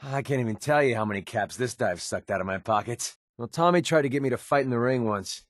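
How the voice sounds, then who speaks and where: A man acting as a young American gun-for-hire from a video game, cynical yet easygoing. He talks casually with wry sarcasm in a mid-pitched voice, recorded close and dry. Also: fast talker, dry recording, natural voice. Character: wisecracking young male mercenary sniper, cynical but easygoing. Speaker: video game companion character. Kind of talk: in-game companion remarks.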